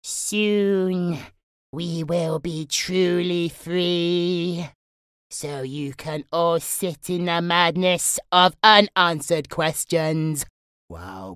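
A woman's voice: deep voice, dry recording, studio quality. A woman playing a gleeful, sinister demonic entity in a horror audio roleplay, taunting in a singsong, menacing way.

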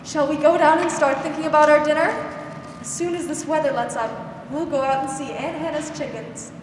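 A young woman acting a gentle, weary, protective older aunt in a 1940s-style radio drama, soothing and hesitant. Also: sits high, fairly even intonation, fairly quick, close to an everyday voice. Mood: kindly. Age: young adult. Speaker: student actor. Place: school theatre stage. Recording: echoey hall, noisy background.

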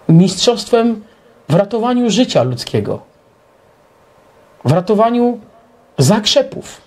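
A middle-aged man co-hosting a talk show, explaining his opinions in a halting monologue. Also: sits high, a little mellow.

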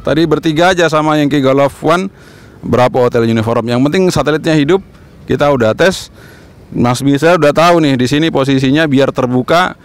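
A man's voice: fairly high pitch, a little fast, smooth. A man in his forties with an Indonesian accent, explaining repeatedly and casually as a radio operator giving a hands-on demonstration outdoors. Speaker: amateur radio operator, instructor. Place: open rooftop in a town, outdoors. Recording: very noisy outdoor recording.

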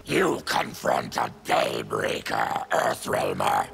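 A proud fanged mutant, extremely deep and guttural. The indignant game monster drags out the words in a rattling growl. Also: male voice, wildly swooping pitch, slow pace, vocal fry, loud music behind the voice, exaggerated voice. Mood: bitter, indignant.

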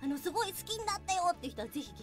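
A woman's voice: very high-pitched, sing-song, very fast. A woman hosting a playful promotional chat in a bubbly, teasing manner. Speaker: host. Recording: music playing behind the voice. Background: background music.

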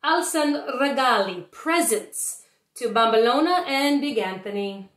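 A woman voicing a kindly but firm old grandmother witch in a picture-book read-aloud, affectionate and gently instructing. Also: a little high, animated, unhurried. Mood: pleased. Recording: roomy home webcam recording.

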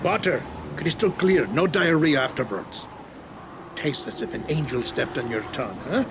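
An actor playing a slick, bragging water peddler in a video game. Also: male voice, a little high, lively intonation, normal speed, noisy background. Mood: boastful. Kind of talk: video game cutscene acting.

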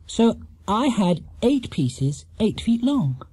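A man's voice: high voice, big pitch swings, takes it slowly, a little mellow, husky, voice pushed up, full character voice. A man voicing a polite, earnest young bear who speaks firmly and never gets flustered, in a children's storybook. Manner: polite and firm.

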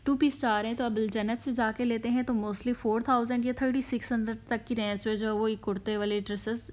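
A woman's voice: on the high side, darkish tone. Chatty woman touring a store. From Pakistan. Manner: chatty, repeats filler phrases, lists prices. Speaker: shopping vlogger. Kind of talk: casual walkthrough narration. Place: indoor clothing store.

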